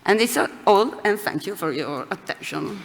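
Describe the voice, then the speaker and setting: Halting woman speaking in a reverberant hall. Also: medium pitch, expressive, gravelly. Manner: halting, many fillers, searching for words. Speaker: conference presenter answering questions. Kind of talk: hesitant academic Q&A. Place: lecture hall. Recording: hall reverb, faint room noise.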